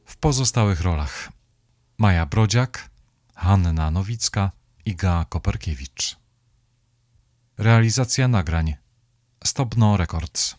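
A man's voice: low-pitched, lively intonation, slow pace, pauses often, a little mellow, dry recording, studio quality. A man reading scripted end credits as an announcer.

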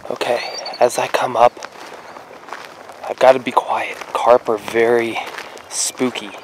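A young man casually narrating his fishing trip, speaking quietly as he stalks fish by a lake. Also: flat delivery, slow, slight background noise, rough recording. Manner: hushed and low while stalking fish. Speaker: fishing vlogger. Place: outdoors by lake flats, partly in a car. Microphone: action camera on head or in hand.

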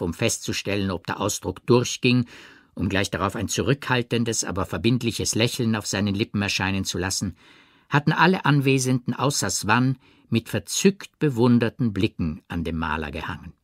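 A man narrating a literary audiobook in a measured, expressive way with precise phrasing. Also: on the low side, no echo, studio quality.

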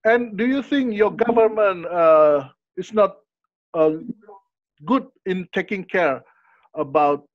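A man hosting an interview over a video call through a headset, asking questions in halting English full of fillers and encouraging replies. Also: high-pitched, no echo. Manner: halting non-native English, frequent fillers and encouraging backchannels. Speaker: interview host. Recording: dry lo-fi headset audio.

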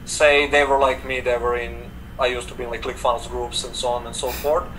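Serbian-accented young man, relaxed interview guest on a video call, mic set back, steady room hum. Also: medium pitch, fairly quick. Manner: relaxed, frequent "like" fillers, gestures while talking. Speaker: guest, affiliate marketer. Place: home room indoors. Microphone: video call webcam. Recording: distant webcam mic with air conditioner hum.

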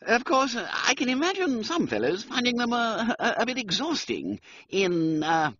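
A dithery young gentleman, as a male reader puts him on, lifting into a higher, lively voice full of stammers and hesitations. The tone is husky and the recording sounds dull and dry. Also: normal speed, no room sound, heavily acted. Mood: nervous jolly. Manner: stammering, hesitant, with upper-class slang. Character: flustered young English gentleman, genial and dithery.

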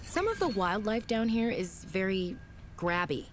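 A woman's voice: medium pitch, sing-song, unhurried, slight background noise. An actress playing a young woman diver who makes dry, wry remarks to herself in a video game. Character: Robin, young woman diver exploring an icy planet. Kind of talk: game character lines.

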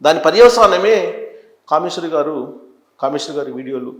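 A man gives unscripted news commentary as a presenter, deliberate and emphatic, repeating phrases for stress. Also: a little high, expressive. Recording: echoey, roomy sound.

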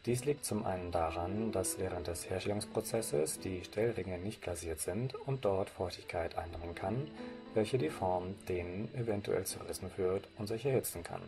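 A man's voice: sits low, normal speed, music in the background. A man from Germany presenting, reading scripted narration for a slide presentation in a formal way. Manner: formal, read-out delivery.

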